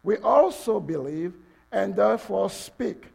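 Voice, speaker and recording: A man from Togo with a French-English accent preaching a sermon in a church, halting with fillers, then building to passionate emphasis. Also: high-pitched, animated, vocal fry, a little mellow, breathy edge. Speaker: pastor. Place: church sanctuary.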